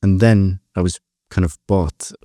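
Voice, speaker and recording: A man's voice: deep voice, fairly even intonation, unhurried, warm tone, no room sound, studio quality. A man in his twenties with an Icelandic accent, talking casually as an interview guest in a loose, enthusiastic, self-correcting way. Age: 20s. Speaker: podcast guest, composer and pianist.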